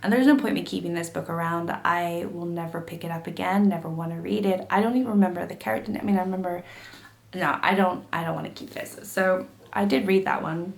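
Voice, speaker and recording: Woman booktuber getting over a cold, gravelly throat, rambly chatty talk, echoey room. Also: on the low side, fairly quick. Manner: chatty, rambling, frequent fillers and restarts. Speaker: book YouTuber. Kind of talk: casual chat to camera.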